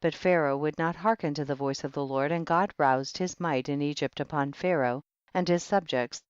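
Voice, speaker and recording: A man giving a solemn, measured audiobook narration of old scripture in archaic wording. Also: on the high side, clean tone, dry recording, highs cut off. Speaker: audiobook reader.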